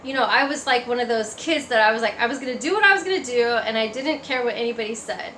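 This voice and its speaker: A woman's voice: a little high, fast talker, sharp tone. A woman giving a personal testimony in a casual, conversational storytelling way. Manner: conversational, acts out remembered remarks. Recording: echoey room, faint background noise.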